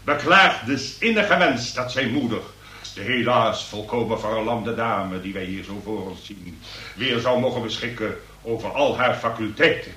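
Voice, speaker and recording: An actor playing a pompous, florid magistrate in a radio play, with a theatrical, oratorical and emphatic manner. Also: male voice, on the low side, normal speed, pushed and bright. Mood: pompous, grandiose. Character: pompous, florid, grandly magnanimous male examining magistrate. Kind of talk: Dutch radio play acting. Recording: echoey courtroom sound, distant from mic.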